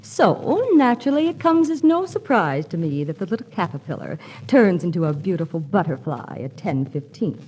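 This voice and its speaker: A woman's voice: on the low side, big pitch swings, normal speed, hardly any pauses, a little mellow, a little hiss or hum, low-quality recording. An actress playing a scheming, jealous young woman in 1940s radio comedy, smugly threatening with pointed sarcasm. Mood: smug, insinuating.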